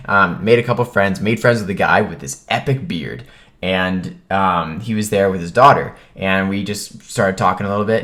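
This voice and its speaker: A man's voice: on the low side, a bit bright. An American man vlogging in a casual, conversational way, telling a personal story in informal, clipped sentences.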